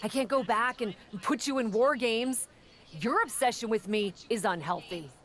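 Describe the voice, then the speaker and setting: An actress playing an exasperated, confident wrestler in a video game, blunt and confrontational. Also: female voice, fairly high pitch, moderate pace, close to an everyday voice. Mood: exasperated, defiant. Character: exasperated, confident female champion-contender wrestler. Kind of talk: video game cutscene acting.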